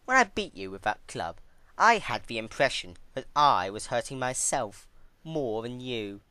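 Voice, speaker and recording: A man's voice: medium pitch, very animated, unhurried, sharp tone, dry recording, voice pushed up. A man voicing a cruel female pony villain who taunts with mock sweetness in a fan-fiction story. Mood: gloating.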